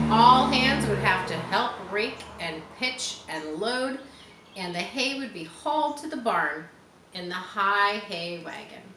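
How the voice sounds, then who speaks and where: A woman reading a children's story aloud in a teacherly way, pausing to explain and chat to her listeners. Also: mid-range voice. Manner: teacherly, explains words and adds chatty asides. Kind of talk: children's audiobook reading with asides. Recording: roomy echo with faint background noise.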